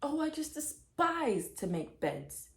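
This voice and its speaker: A woman's voice: high voice, sing-song, takes it slowly, echoey room, pitched above the performer's own voice, heavily acted. A woman reading a storybook as a young girl who complains about chores with dramatic emphasis. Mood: exasperated. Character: young girl grumbling about chores, mouth full of cookie.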